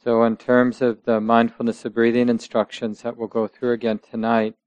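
A man teaching meditation, guiding listeners gently in measured short phrases with long pauses between them. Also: mid-range voice, monotone, no echo. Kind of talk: guided meditation instruction.

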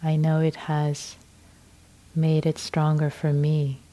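A middle-aged woman whose first language is Farsi, leading a guided meditation in a slow, soothing way with long pauses. Her breathy voice drifts at times into a whisper. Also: low voice, monotone, warm tone. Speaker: meditation teacher.

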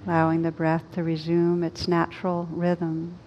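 A woman leads a guided meditation in a low, very even voice. She gives slow, soothing instructions and leaves long pauses between phrases. The recording is dull and lo-fi. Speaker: meditation teacher. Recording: dull lo-fi recording with rumbling background noise.

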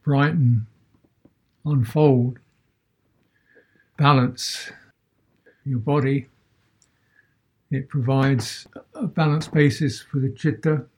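A man teaching a guided meditation as a Buddhist teacher, speaking in short measured phrases with long pauses between them. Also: mid-range voice, steady tone, slightly rough.

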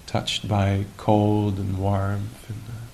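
Male meditation teacher, lowish creaky voice, unhurried and musing, live recording with faint rumbling noise. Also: little pitch movement. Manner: unhurried, musing, gentle questioning with long pauses. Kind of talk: guided meditation at a retreat.

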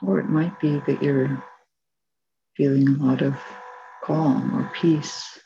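A woman teaching meditation, giving calm, gentle spoken guidance with long pauses between phrases. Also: deep voice, gravelly, muffled recording, slight background noise. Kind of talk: guided meditation, soft spoken instruction.

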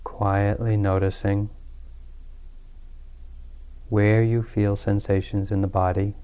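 A man leading a guided meditation as a teacher, speaking calmly and soothingly with long pauses. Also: on the low side, clean tone. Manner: calm, unhurried, soothing delivery with long pauses.

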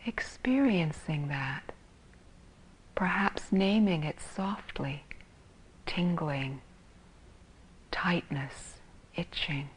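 A woman teaching meditation in a soft, breathy, husky voice, calmly and gently guiding with long pauses between phrases. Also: fairly low pitch, a little hiss or hum, rough recording. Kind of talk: guided meditation.

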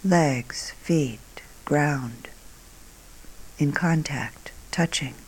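A woman meditation teacher guiding a meditation calmly and unhurriedly, in short phrases with long pauses, her voice soft and breathy at phrase ends. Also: low-pitched, a little hiss or hum, rough recording. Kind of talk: guided meditation.

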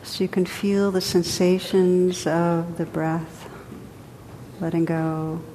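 A woman leads a guided meditation in a soft-edged, mellow voice that stays very level. She speaks gently and takes her time, leaving space between short phrases. The sound is reverberant, like a large hall, with a faint rumbling noise behind her. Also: completely flat delivery, takes it slowly. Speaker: meditation teacher.

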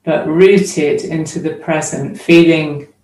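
Slow-paced woman guiding meditation in a roomy space. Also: low voice, steady tone, a little mellow. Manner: measured, with long pauses between short phrases. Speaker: meditation teacher. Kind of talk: guided meditation, slow spoken instruction. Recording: roomy echo, distant microphone.

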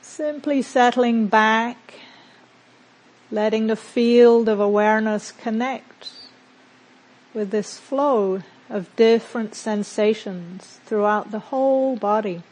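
A woman meditation teacher leading a guided mindfulness practice in a gentle, unhurried manner with long pauses between phrases. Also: a little high, low-quality recording.

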